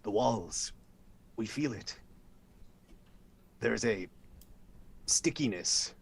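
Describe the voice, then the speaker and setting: A man's voice: lowish voice, lively intonation, extremely slow, creaky, husky, exaggerated voice. A man voicing a murmuring, ominous stone spirit in a tabletop game.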